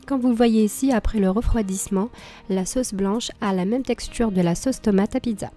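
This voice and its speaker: A woman with a cold and a slightly hoarse voice, calmly explaining a cooking tutorial step by step. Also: medium pitch, normal speed, faint music behind. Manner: calm, explains step by step with small fillers. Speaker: cooking channel host.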